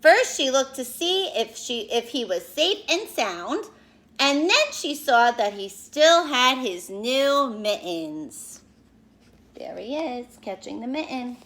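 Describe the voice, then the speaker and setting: A woman teacher doing an expressive read-aloud for young children, speaking to them directly and explaining as she goes. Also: high voice, slow pace. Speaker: elementary school teacher reading aloud to pupils.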